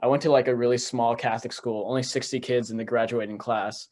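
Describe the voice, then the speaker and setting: A man's voice: mid-range voice, fast. Easygoing young man, casual podcast chat. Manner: relaxed, easily amused, slangy.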